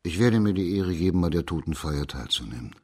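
An actor playing a dignified, courteous magistrate in a radio crime drama, speaking formally and with measured care. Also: male voice, deep voice, expressive, mellow, husky.

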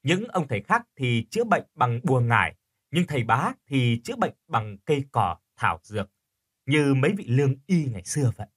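Husky village ferryman voiced by a man. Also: mid-range voice, sing-song. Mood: calm, eerie. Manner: unhurried storytelling. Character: courteous young ferryman telling eerie village lore.

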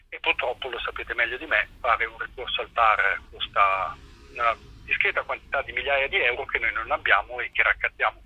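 A man's voice: medium pitch, bright, forceful tone, slightly rough, dry recording, lo-fi sound. A man explaining things informally in an interview, earnest, discursive and colloquial. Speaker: activist, interview guest.

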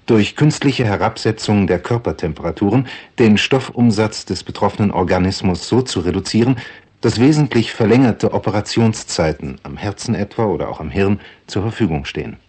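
A man with a deep, somewhat rough voice reads a scripted radio introduction. His delivery is measured and explanatory, in the manner of a lecture. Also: expressive, a little mellow. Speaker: introductory speaker of a radio play.

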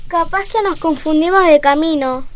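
A female performer playing an excitable schoolgirl in an amateur school radio play. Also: extremely high pitch, normal speed, a little hiss or hum. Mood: excited. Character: excitable schoolgirl on a class trip.

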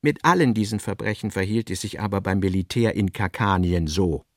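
A man's voice: fairly low pitch, brisk, no room sound, studio quality. A man reading scripted literary narration for a radio play.

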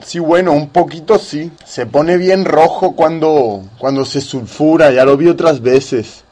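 Slow-paced, hesitant man in satirical dialogue. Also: on the high side, warm tone, slight background noise. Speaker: radio presenter playing a concerned patient in a satirical sketch.

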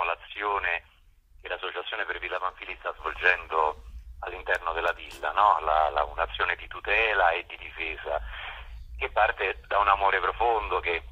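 A man speaks as a guest in an informal interview. His voice sits fairly low, with a husky grain and a very bright, forceful edge. He is enthusiastic and often halts to find the next word. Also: lo-fi sound. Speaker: interview guest, spokesman for a park conservation association. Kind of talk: radio interview, informal explanation.

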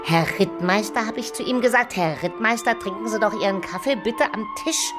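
An actress as a middle-aged landlady in a radio play, gossipy and effusive. She fusses like a mother over her lodgers, quick to turn indignant, and acts out everyone she quotes. Her voice sits at an ordinary female pitch. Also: animated, loud music behind the voice, natural voice. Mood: chatty reproach. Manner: gossipy, effusive, theatrically quoting people. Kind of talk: radio drama acting.

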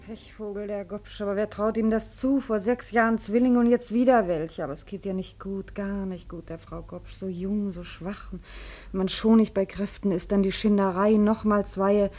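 A woman's voice: mid-range voice, fairly even intonation, moderate pace, warm tone, faint music behind, natural voice. A woman acting a pitying, resigned working-class gossip in a 1950s German radio drama, in colloquial muttered phrases.

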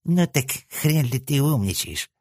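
A man's voice: medium pitch, very animated, a little slow, darkish tone, no echo, clean studio sound, voice pushed up. A man voicing the cheeky, crude left head of a three-headed hellhound, with a nasal, sleazy junkie's whine. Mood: sneering. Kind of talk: audiobook character voice.

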